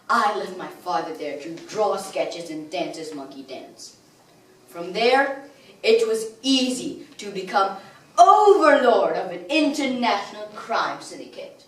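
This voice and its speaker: A young girl playing a bitter, grandiose male villain in a theatrical stage monologue, with dramatic pauses and swelling outbursts. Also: a little high, a bit bright, full character voice. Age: child or young teenager, 13 or under. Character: melodramatic male soap-opera villain, bitter and grandiose crime lord. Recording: distant, echoey room with background noise.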